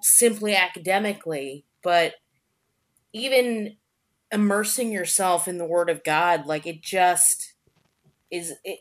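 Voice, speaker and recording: A woman speaks slowly in a somewhat low voice. As a podcast co-host she reflects casually, haltingly thinking aloud. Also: a bit bright.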